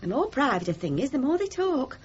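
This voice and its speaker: A woman's voice: sits high, big pitch swings, brisk, slightly rough, exaggerated voice. An actress playing a prim, gossipy, insistent middle-aged mother in a 1970s radio comedy drama, emphatic and fond of genteel euphemism. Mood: scandalised, insistent. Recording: muffled, hissy old radio broadcast.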